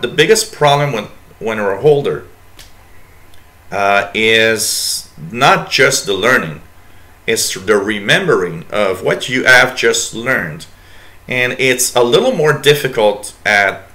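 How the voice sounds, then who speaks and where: Bright-voiced French-Canadian man, chatty host. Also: on the low side. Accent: Quebec French. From Quebec, Canada. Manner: rambling, reads chat messages aloud, many fillers. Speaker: radio show host. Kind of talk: casual live-stream chat.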